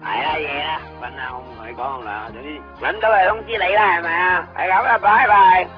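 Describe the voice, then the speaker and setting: An actor plays a weary, impatient man in a Hong Kong Cantonese radio drama. His voice is fairly high as he placates the listener. The recording is lo-fi with music behind. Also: fairly quick, close to an everyday voice. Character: weary man fobbing off a nagging caller.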